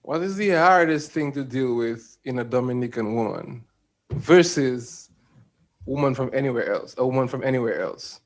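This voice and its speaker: A man's voice: mid-range voice, normal speed. A man with a Caribbean English accent co-hosting a casual podcast, asking questions in an insistent, curious way. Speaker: podcast co-host.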